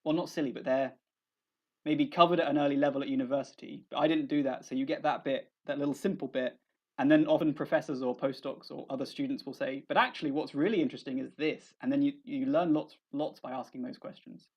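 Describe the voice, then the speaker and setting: A man's voice: medium pitch, fairly even intonation, fast. A young man with a southern English accent, a researcher guest talking casually, often restarting his sentences in a modest, hesitant way. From south of England. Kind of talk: casual conversation. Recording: dull lo-fi audio.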